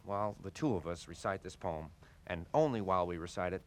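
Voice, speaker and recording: A man playing a pompous know-it-all loudspeaker. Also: low voice, expressive, brisk, no echo. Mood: lecturing. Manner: pompous, lecturing, easily irritated. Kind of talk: comedy sketch on a test record.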